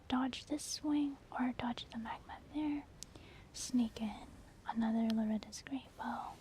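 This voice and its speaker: Female ASMR gaming host: breathy whisper, unhurried pace, gentle and even in tone. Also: on the high side. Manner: gentle, unhurried, softly explaining. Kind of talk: soft-spoken whispered ASMR game commentary.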